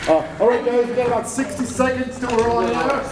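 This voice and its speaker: A man directing the cast of a live radio play in casual, hurried chat, full of fillers and quick laughs, at a convention. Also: very high voice, flat delivery. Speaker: director and announcer. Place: convention hall. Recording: echoey, distant, noisy hall.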